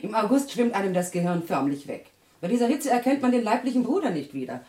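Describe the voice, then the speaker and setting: A woman's voice: mid-range voice, very animated, fast talker, dark tone, natural voice. A woman playing a learned psychiatrist in a radio play. She speaks with very mobile intonation in a roomy, reverberant space. Her manner is breezy yet probing. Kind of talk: radio drama acting.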